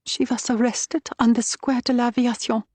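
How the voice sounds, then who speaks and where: A woman's voice: on the high side, little pitch movement, moderate pace, darkish tone, no room sound, voice pushed up, lightly acted. A woman voicing an anxious, pleading young woman, a wartime resistance courier in an audiobook, with a pinched, urgent delivery. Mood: anxious, grim.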